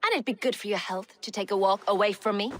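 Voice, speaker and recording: A woman's voice: medium pitch, quick pace, fairly bright tone, lightly acted. An actress playing a defiant female Viking warrior in a video game, with a blunt, terse manner. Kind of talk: video game cutscene acting.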